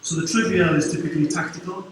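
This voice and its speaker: A middle-aged man from Wales with a Welsh accent, giving a quick, informal conference talk with dry humour from a lectern. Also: a little high, fairly even intonation, a little hiss or hum. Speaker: conference speaker. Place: large dark conference hall. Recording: very echoey hall, distant mic.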